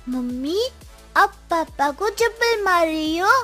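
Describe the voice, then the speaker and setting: Slow, high-pitched cheeky little boy, acted by a woman. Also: animated, fairly bright tone, faint music behind, full character voice. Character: cheeky wisecracking young schoolboy talking back to his parents. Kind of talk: comic audio drama acting.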